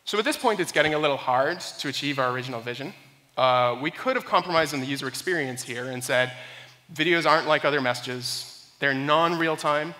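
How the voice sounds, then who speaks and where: A young man giving a prepared technology talk at a conference in a measured, explanatory way. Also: on the high side, bright, forceful tone. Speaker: software engineer, conference speaker. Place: indoor conference room, speaking on stage. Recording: reverberant hall sound.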